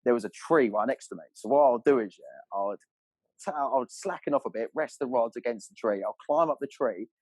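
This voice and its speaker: Bloke on a podcast telling stories, talks quick, sweary and matey, really dry sound. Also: male voice, medium pitch, animated, darkish tone, dry recording. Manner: slangy, matey, swears freely. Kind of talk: casual animated storytelling.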